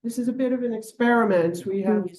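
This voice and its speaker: A woman representing a community association, speaking to a live audience in a reassuring, halting way with many pauses. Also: fairly high pitch, darkish tone. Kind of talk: informal remarks to a live audience. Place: community meeting room. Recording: roomy room sound from a distance.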